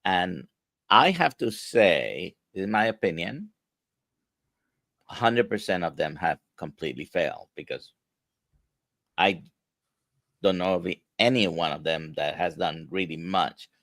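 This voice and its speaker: Deep gravelly man with a Spanish accent. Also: expressive, a bit bright, no room sound. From grew up speaking Spanish, now near Orlando. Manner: halting, many "uh" fillers, reads viewer questions. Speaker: host, physician. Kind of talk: casual live-stream interview.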